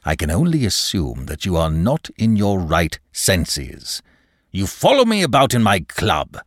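A man's voice: low-pitched, huge pitch swings, measured pace, no room sound, heavily acted. This is a man putting on a stern, pompous bank manager from a storybook. He scolds in stiff, indignant formal phrases that rise and fall sharply, recorded very dry. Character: pompous, exasperated male bank manager, stern and easily angered. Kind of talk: audiobook character dialogue.

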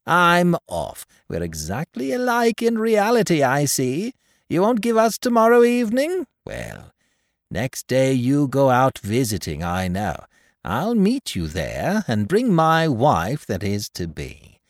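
A man voicing a harsh, sarcastic male toy merchant in a storybook reading, loud and sharp. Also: mid-range voice, wildly swooping pitch, takes it slowly, dry recording, studio quality, pitched above the performer's own voice, exaggerated voice. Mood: sneering.

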